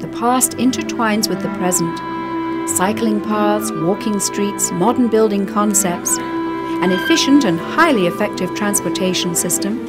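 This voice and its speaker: A woman reading scripted travel documentary narration in a measured, descriptive way. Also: mid-range voice. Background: background music.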